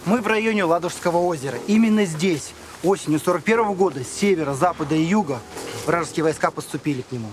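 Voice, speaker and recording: A man's voice: a little high, quick pace. A man co-hosting a reality show, presenting and putting questions to contestants. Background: clear music.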